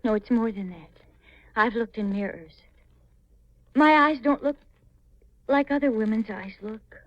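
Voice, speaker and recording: An actress playing a lost, confused young woman in a halting, plaintive way, in the style of a 1950s radio mystery. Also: a little high, dry recording, close to an everyday voice. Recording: old muffled radio recording, hissy.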